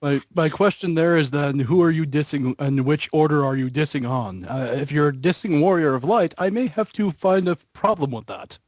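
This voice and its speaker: A man's voice: fairly high pitch, moderate pace, hardly any pauses, darkish tone, dry recording. A man co-hosting casual banter with friends, often asking things in a mock-puzzled way. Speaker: channel co-host.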